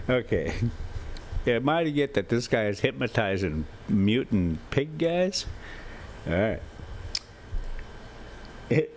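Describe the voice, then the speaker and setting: A man reading a classic story aloud in halting, deliberate phrases, with offhand asides. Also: fairly low pitch. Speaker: story reader and channel host. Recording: steady background noise while on the road. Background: motorhome driving ambience.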